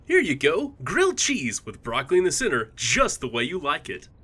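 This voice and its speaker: Chirpy, bright young man with wild pitch swings , acted. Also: huge pitch swings, moderate pace, breathy edge, exaggerated voice. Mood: eager, helpful. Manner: chirpy, eager, overfamiliar. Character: eerily cheerful, helpful young man, doting best friend. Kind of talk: exaggerated audio drama acting.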